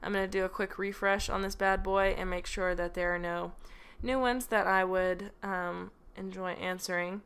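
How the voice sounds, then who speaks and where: A woman's voice: medium pitch, steady tone, moderate pace, hardly any pauses, fairly bright tone, clear, resonant tone. A young woman hosting her own podcast from home, rambling casually with lots of fillers and self-deprecating asides, drifting on and off her microphone. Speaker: podcast host. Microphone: home microphone, drifting away from it.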